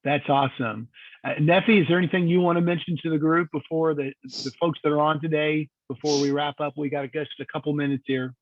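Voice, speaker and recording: A man's voice: on the high side, fairly even intonation, brisk, dry recording. A man hosting a property tour video call, upbeat and chatty, with lots of filler words. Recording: tinny phone-like call audio.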